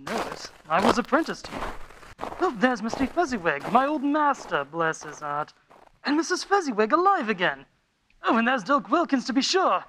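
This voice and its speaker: A man playing a flustered, sentimental old man in a radio play, halting and exclamatory. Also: extremely high pitch, sing-song, noisy background. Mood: delighted nostalgia.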